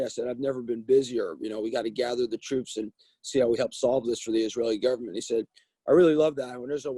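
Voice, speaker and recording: A middle-aged man with a dark, mellow tone and a slightly husky edge, speaking as a venture investor over a video call. He talks thoughtfully and often repeats a word before he finds his point. The sound is close and dry. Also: medium pitch, dry recording, poor audio. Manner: thoughtful, repeats words while thinking. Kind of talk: panel discussion on a video call.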